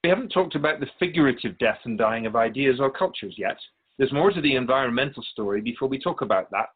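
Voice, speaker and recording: Male actor as wry spirit guide, philosophical radio drama dialogue, medium pitch, rough-edged voice, thin telephone-like audio. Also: animated, natural voice. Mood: earnest, probing. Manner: measured, philosophical, gently admonishing. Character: Keeper of Souls Purpose, wise, wry otherworldly spirit guide. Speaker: character answering an interviewer in a radio drama.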